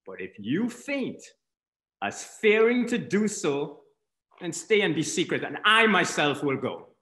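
A man's voice: a little slow, roomy sound, full character voice. An actor reading a scheming nobleman in a Shakespeare staged reading over a video call. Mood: urgent. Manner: declaiming, lively pitch. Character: Northumberland, scheming middle-aged nobleman. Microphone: video call webcam.

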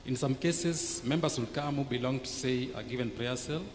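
A man's voice: medium pitch, normal speed. A middle-aged man with a Kenyan English accent, thoughtfully explaining church welfare work on a panel with frequent fillers, into a handheld mic. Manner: thoughtful, hesitant fillers, gesturing. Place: church TV studio set, seated. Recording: echoey hall with noticeable noise.

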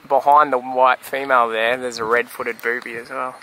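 A man's voice: medium pitch, little pitch movement, normal speed, pushed and bright. A man in his thirties casually chatting and joking while filming a travel vlog on a camera. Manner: joking, self-mocking banter. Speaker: travel vlogger. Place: outdoors on an island and in a ship cabin. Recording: noisy outdoor camera audio.

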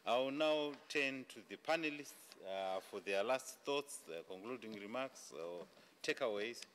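A middle-aged man chairing a panel in a conference room, speaking in halting phrases into a desk microphone. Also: mid-range voice, expressive, fairly bright tone, slight background noise. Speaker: panel moderator. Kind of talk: chairing a formal panel discussion. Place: large indoor conference room.

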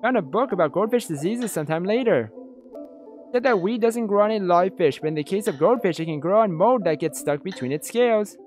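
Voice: mid-range voice, animated, fairly quick, darkish tone, faint music behind, close to an everyday voice. A let's play host voicing a wistful young woman in a visual novel. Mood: wistful, casual.

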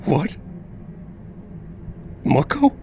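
A man playing a guilt-ridden young man in an audio drama, pleading and halting. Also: a little mellow, slight background noise. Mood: shaken, guilty.

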